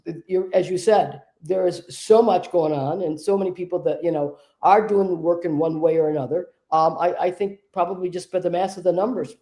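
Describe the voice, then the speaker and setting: A woman hosting a conversation, a medium telling stories in a relaxed, discursive way. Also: on the low side, fairly quick, hardly any pauses. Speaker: host and trance medium. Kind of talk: casual conversation.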